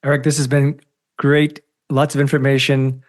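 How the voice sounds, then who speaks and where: A man hosting a podcast, wrapping up an interview in a brisk, friendly way. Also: medium pitch, monotone. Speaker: podcast host. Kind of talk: interview wrap-up.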